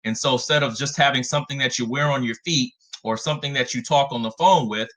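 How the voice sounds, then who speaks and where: A man in his forties, a financial advisor giving a webinar talk in a measured way, often starting with "again". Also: mid-range voice, fairly bright tone. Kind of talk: webinar presentation.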